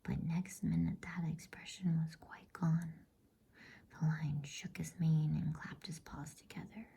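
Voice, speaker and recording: A woman whispers a storybook narration, husky and heavy with crackly fry. Her voice is low and soft, moving along slowly and soothingly for ASMR listeners. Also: fairly even intonation. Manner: hushed, unhurried, soothing. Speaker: bedtime story reader.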